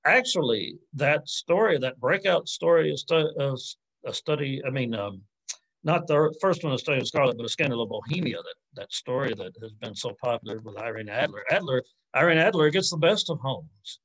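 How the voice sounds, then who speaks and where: Male academic, forties, mid-pitched voice. Informal Q&A delivery, hesitant, reworks sentences as he goes. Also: expressive, fairly quick, a bit bright, rough recording. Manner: hesitant, thinking aloud, often rephrasing mid-sentence. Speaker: academic lecturer answering audience questions. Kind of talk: informal literary Q&A talk. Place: indoors on a video call.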